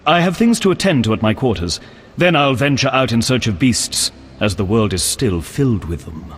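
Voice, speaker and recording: Dignified, earnest game knight, male actor: formal delivery, animated and husky, long hall reverb. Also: medium pitch, moderate pace, natural voice. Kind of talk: video game character acting. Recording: long echo of a large hall.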